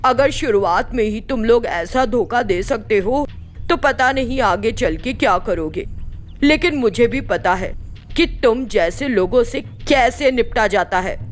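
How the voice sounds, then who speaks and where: A woman's voice: a little high, expressive, unhurried, soft background music. A woman voicing a greedy, harsh older mother-in-law who scolds and accuses. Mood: accusing.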